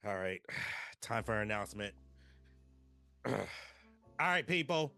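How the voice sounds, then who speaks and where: A man's voice: lowish voice, sing-song, unhurried, pushed and bright, smooth, dry recording, studio quality, soft background music, full character voice. A man acting a hard-ass, grumpy department store manager at a table read of a comedy script, curt, bossy and exasperated.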